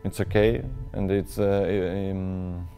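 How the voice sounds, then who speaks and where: A man with a Russian accent speaking English in an interview, halting and searching for words. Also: deep voice, level tone, warm tone, faint music behind. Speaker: interviewee, film editor. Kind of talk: sit-down interview answers. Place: indoor studio space.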